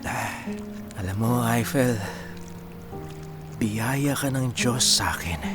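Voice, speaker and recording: Radio drama friend: man playing gentle, unsure young guy, unhurried delivery over clear music. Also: mid-range voice, lightly acted. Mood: hesitant, tender. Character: soft-spoken, self-doubting young man, gently teasing friend. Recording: music under the voice.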